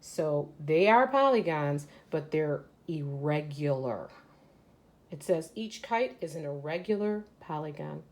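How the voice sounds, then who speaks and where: A woman's voice: sits low, lively intonation, a little slow, darkish tone. A woman teacher reading a children's educational book aloud and explaining it to young pupils as she goes. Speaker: third grade teacher.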